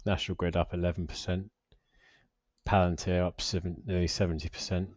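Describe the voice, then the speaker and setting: A man's voice: low voice, level tone, brisk, no room sound, dull audio. A British man in his forties giving a casual, unscripted finance vlog commentary in a hesitant, rambling, self-deprecating way. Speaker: personal finance vlogger.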